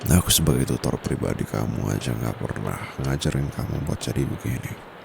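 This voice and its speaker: A man playing a very deep, gravelly teasing tutor. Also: heavily acted. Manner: soft-spoken, teasing, slow and close. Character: teasing young male private tutor, secretly dominant. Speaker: voice actor. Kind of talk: ASMR roleplay. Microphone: close condenser mic. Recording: steady background noise with traffic.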